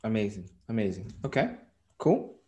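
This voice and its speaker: A man moderating an online panel over a video call, upbeat, with quick affirmations between speakers. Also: lowish voice, big pitch swings, a little mellow, lo-fi sound.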